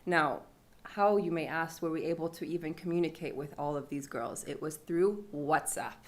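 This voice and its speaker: Young woman, lowish voice, panel speaker, chatty storytelling, casual fillers. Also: normal speed. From Iran. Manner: conversational, with fillers and laughs. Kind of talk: storytelling talk at a panel event.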